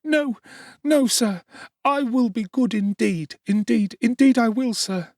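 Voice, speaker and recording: A man's voice: extremely high pitch, slow pace, a little mellow, no room sound, clean studio sound, pitched above the performer's own voice, exaggerated voice. A man voicing a frightened small workhouse boy who sobs and pleads. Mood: sobbing, pleading. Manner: sobbing, pleading.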